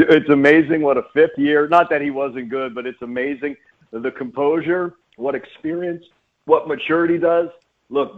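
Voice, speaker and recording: A man speaking as a radio guest and play-by-play announcer, giving emphatic sports commentary and repeating phrases for stress. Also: medium pitch. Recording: phone-like narrow-band radio line.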